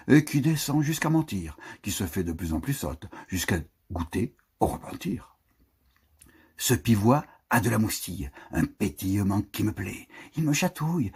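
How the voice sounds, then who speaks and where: A man reading poetry aloud in a theatrical, expressive way, declaiming with dramatic swells and hushed, breathy phrase endings. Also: fairly low pitch. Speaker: poetry reader.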